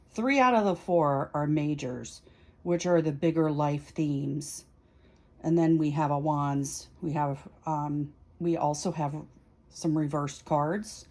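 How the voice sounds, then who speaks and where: A woman with a slightly low-pitched voice hosts a casual tarot vlog. She speaks very slowly, explaining each step in an informal, chatty way and thinking aloud as she goes. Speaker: tarot reader hosting a practice series.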